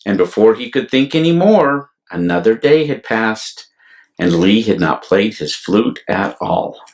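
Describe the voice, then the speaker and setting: Male storyteller for a children's read-aloud: slow, deliberate delivery, lively swings in pitch. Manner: deliberate, animated, explanatory asides to young listeners. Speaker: storyteller, priest reading aloud. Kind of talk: expressive children's picture-book read-aloud.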